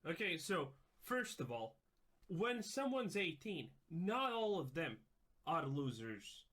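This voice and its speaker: A man's voice: fairly high pitch, big pitch swings, slow, fairly bright tone. A man in a played clip, reacting with emphatic, lecturing commentary.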